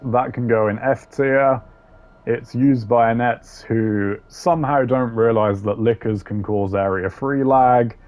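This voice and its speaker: A man's voice: mid-range voice, darkish tone. A man hosting a gaming video with casual, wry, sardonic commentary and some swearing. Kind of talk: casual tier-list commentary.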